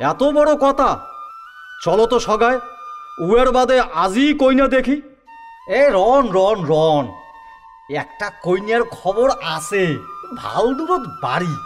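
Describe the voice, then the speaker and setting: An excitable elderly villager, as a man acts him out, high-voiced and swinging widely in pitch as he banters and exclaims. Faint music sits under this rural radio play. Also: slow, pauses often. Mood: bantering. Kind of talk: rural audio drama acting.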